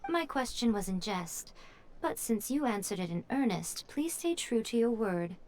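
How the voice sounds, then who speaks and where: A woman voicing a sly, elegant shrine priestess who speaks in a smooth, amused, poised way. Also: a little high, very animated, normal speed, very noisy.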